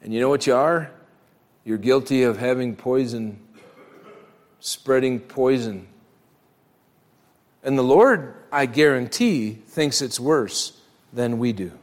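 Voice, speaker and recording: Male preacher, mid-pitched, emphatic and direct sermon delivery, long echoing hall sound. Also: slow pace, pauses often. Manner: emphatic, direct address with rhetorical questions; acts out imagined dialogue. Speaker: pastor preaching. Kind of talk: church sermon.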